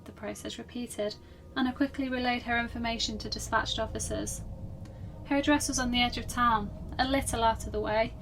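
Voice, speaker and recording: A woman's voice: on the high side, gravelly. A woman reading a horror story as a dramatic scripted narration. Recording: distant mic with faint background noise.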